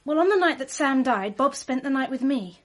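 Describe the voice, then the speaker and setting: An actress playing an anxious, protective witness in a radio drama, earnest, defensive and a little faltering. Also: female voice, high voice, animated, normal speed.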